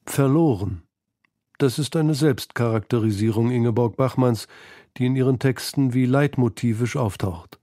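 A man's voice: medium pitch, moderate pace, dark tone, no echo, studio quality. A man reading scripted narration for a literary documentary feature.

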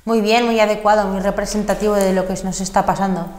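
A woman's voice: mid-range voice, fairly even intonation, fast, a little mellow. A woman in her forties hosting a local TV show, wrapping up an interview in a friendly, appreciative way. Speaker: TV host. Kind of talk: casual studio interview wrap-up. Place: small TV studio set. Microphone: lapel. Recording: echoey studio with long reverb.

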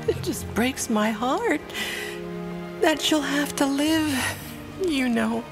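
Audio drama mother, played by a woman: tender, anxious, high, very slow, music underneath. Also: exaggerated voice. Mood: worried. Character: tender, emotional new mother, loving and worried.

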